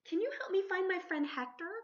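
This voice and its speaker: Woman voicing an earnest, high-pitched little storybook girl. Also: animated, fairly quick, roomy sound. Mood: hopeful, searching. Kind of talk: children's storybook read-aloud. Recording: dull lo-fi sound.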